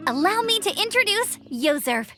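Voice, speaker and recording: A woman's voice: very high-pitched, sing-song, normal speed, heavily acted. An actress voicing a cheerful young female scout in a game, eager and upbeat. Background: game music.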